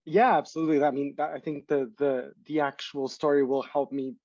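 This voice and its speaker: A man's voice: on the high side, level tone, fairly quick, a little mellow, dry recording. A man in his thirties from Portugal, a startup founder explaining his research as a guest, with many restarts and repeated words. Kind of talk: interview answers. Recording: dull distant call audio.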